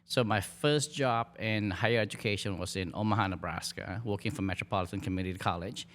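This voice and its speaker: A middle-aged man from Malaysia, a dean in hospitality, talking in a relaxed, halting way with fillers and restarts in a podcast interview. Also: on the low side.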